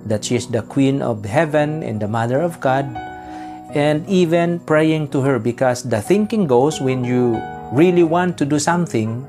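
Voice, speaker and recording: A man in his forties preaching a home-recorded sermon to camera, reading scripture and then explaining it in Taglish with polite "po" and short pauses. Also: medium pitch, darkish tone. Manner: earnest preaching with frequent "po" and filler pauses. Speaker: pastor. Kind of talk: sermon to camera, mixing Tagalog and English.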